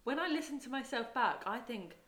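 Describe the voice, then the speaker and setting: A woman's voice: a little high, expressive, a little fast, a bit bright, reverberant. A podcast co-host, a woman, chatting casually, laughing often and telling rambling stories. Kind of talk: casual chat.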